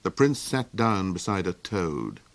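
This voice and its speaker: A man's voice: low voice, big pitch swings, a little slow, creaky, no room sound. Man reading a fairy tale aloud. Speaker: narrator. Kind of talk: storybook reading.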